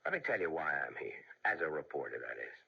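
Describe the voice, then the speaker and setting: An actor playing a dogged, sardonic newspaper reporter in an old-fashioned radio mystery, gravelly, wry and probing. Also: male voice, very deep voice, big pitch swings, fast talker, full character voice. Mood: intent. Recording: muffled old radio recording.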